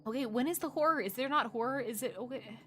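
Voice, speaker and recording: A woman streamer with a hoarse edge to her voice, reading game text aloud and reacting with animated exasperation. Her tone is bright and slightly high, and a faint hum of noise sits behind her. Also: quick pace.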